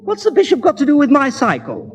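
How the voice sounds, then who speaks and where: A man's voice: extremely high pitch, huge pitch swings, fast talker, exaggerated voice. A man playing a fussy, polite, easily flustered English curate in a prim, fluttery way for a 1960s radio comedy. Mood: indignant. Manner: prim, fluttery, nervous chuckling. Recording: reverberant old radio theatre recording.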